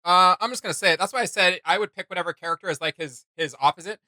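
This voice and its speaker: A man's voice: sits high, rapid-fire, sharp tone, no room sound. A man hosting a reaction video, giving casual commentary in a blunt, mocking, slangy way.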